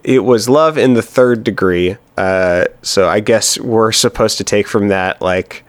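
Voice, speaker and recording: A man's voice: on the low side, unhurried, no room sound. A man co-hosting a podcast, chatting casually, laughing often and hedging with fillers. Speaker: podcast co-host.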